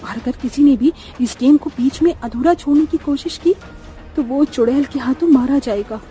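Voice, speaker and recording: Woman acting a very high, mellow young heroine. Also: normal speed, faint music behind, natural voice. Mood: explaining, anxious. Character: young woman in a cartoon horror tale. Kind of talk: cartoon voice acting.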